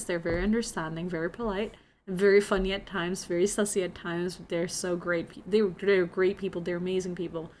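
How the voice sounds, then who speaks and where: A woman's voice: medium pitch, a bit bright, slight background noise. A young woman from Indonesia, speaking English as a second language, chatting casually with her viewers and telling a travel story full of "like" and giggles. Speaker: streamer.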